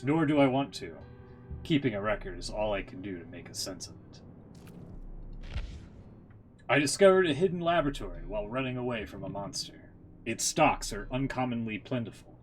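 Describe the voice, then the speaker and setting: A man's voice: lowish voice, close to an everyday voice, low-quality recording. A man voicing a haunted scholar who solemnly narrates his grim horror journal from a game. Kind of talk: dramatic scripted narration.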